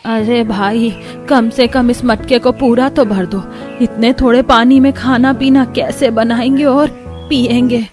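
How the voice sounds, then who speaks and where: An elderly village mother-in-law in a cartoon , voiced by a woman, long-suffering and motherly. She laments dramatically at a slow pace, over clear background music. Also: a little high, fairly even intonation, a little mellow. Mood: aggrieved.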